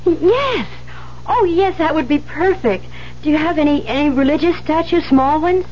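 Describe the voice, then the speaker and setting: Casting: earnest, frightened ex-nun in 1970s radio drama, very high voice, halting delivery, muffled noisy recording. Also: female voice, lively intonation, a little mellow. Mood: eager, nervous. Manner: halting, stammering, pleading. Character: frightened, earnest young former nun seeking a lost statue.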